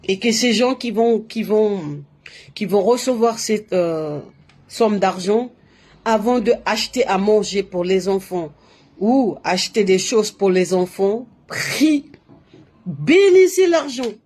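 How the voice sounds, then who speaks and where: A woman's voice: mid-range voice, animated, takes it slowly, a little mellow. A woman from Latin America talks straight into her phone or webcam on a live stream, in French. Her delivery is emphatic and looping, and she repeats words, restarts her sentences and keeps coming back to the same fillers. She sounds like a spiritual streamer giving her viewers advice. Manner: emphatic, repetitive, uses many fillers and restarts. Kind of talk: casual live-stream monologue.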